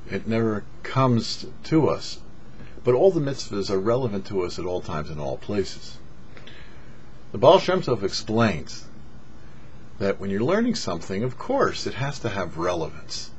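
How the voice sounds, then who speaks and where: A man who is a rabbi gives a short didactic sermon with emphatic phrasing and pauses. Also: on the low side, very animated. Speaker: rabbi giving a weekly Torah talk. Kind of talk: short sermon on the weekly Torah portion. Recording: muffled lo-fi audio with background noise.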